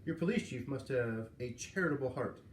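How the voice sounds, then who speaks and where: A man's voice: medium pitch, expressive, a little fast, close to an everyday voice. Man playing a pompous, sarcastic executive in echoey room. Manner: insistent, sarcastic, condescending. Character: sarcastic, pompous, impatient silver-haired company vice president. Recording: roomy, echoing, noisy home recording.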